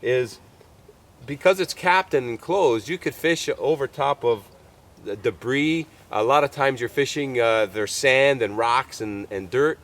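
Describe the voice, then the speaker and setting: A man's voice: mid-range voice, a little slow, a bit bright. A man in his fifties hosts an outdoor fishing show from a creek bank, speaking to the camera in a loose, folksy way. His voice is a little stuffy from a cold. He fills his talk with easy "uh"s and keeps calling his viewers "folks.". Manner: chatty and folksy, lots of "uh" and "folks".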